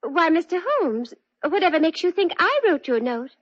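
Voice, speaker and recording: A woman's voice: extremely high pitch, big pitch swings, moderate pace, a bit bright, heavily acted. An actress playing a society lady who flutters and giggles, then turns into a cold armed villain, in an old radio drama. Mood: gushing. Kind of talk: 1940s radio acting. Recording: dull lo-fi old radio recording.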